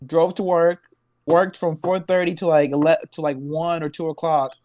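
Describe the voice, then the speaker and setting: Young male podcast co-host from Tanzania, slightly high voice, laid-back and slangy, tells stories and laughs a lot. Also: flat delivery, moderate pace, darkish tone, no room sound. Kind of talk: casual chat.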